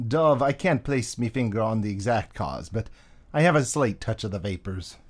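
Casting: actor as a fussy, excitable sidekick, lively pitch, mannered radio-drama delivery. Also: male voice, normal speed. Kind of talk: radio drama acting, 2009 stage recording.

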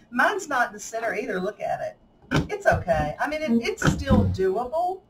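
A woman's voice: on the high side, big pitch swings, a little slow. A woman with a Southern US accent demonstrating a paper craft at a table, talking in a folksy, chatty way and laughing often. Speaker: craft tutorial host. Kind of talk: casual hands-on craft demonstration. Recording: slightly distant mic, faint room noise.

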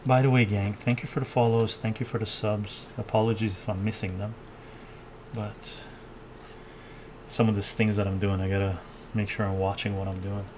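An older man talks casually as he cooks, musing aloud and replying to viewers' comments. His voice sits on the low side. The sound carries clear background noise from the kitchen. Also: little pitch movement, moderate pace. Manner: chatty, thinks aloud, reads chat and answers it. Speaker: cooking live streamer. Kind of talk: casual live-stream chat while cooking. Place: home kitchen, indoors. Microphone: fixed camera mic, moves around the kitchen.

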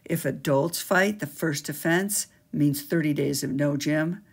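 Gravelly, husky older woman teacher. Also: deep voice, a little slow. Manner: emphatic, sing-song teacherly delivery. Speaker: school staff explaining gym rules. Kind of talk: instructional school video. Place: small school office, indoors. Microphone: webcam at desk.

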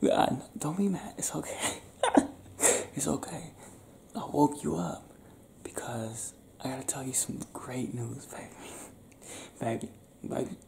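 A young man doing an improvised ASMR boyfriend roleplay, talking softly and affectionately in a breathy, teasing, near-whispered way. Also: mid-range voice, animated, measured pace. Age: young adult. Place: small bedroom, lying on a bed indoors. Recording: roomy sound with faint background noise.

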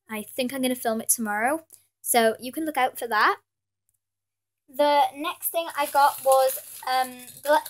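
A British teenage girl with a cold, sniffly, chatting through a pet shopping haul, rambling with lots of fillers and apologies. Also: a little high. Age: teens. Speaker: pet channel host. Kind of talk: casual haul vlog. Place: indoors at a table with Christmas decorations. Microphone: camera filming her hands from above.

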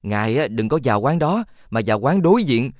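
A man voicing a wise, friendly talking fox in an animated fairy tale, earnest and insistent as he gives advice. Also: mid-range voice, fairly quick, no room sound, studio quality, lightly acted. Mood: earnest advising.

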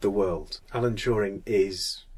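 A man's voice: lowish voice, measured pace, darkish tone, breathy edge. A man in his thirties with a Mancunian accent, hosting a casual podcast chat. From Manchester, England. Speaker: podcast host.

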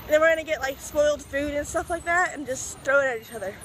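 A teenage girl chatting to her phone camera in a casual, giggly vlog, with a raspy voice after a game. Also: high-pitched, fast, a bit bright, slight background noise. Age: teens. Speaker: vlogger. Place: outdoors by a road, then a classroom, then a car at night. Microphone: phone held at arm's length.

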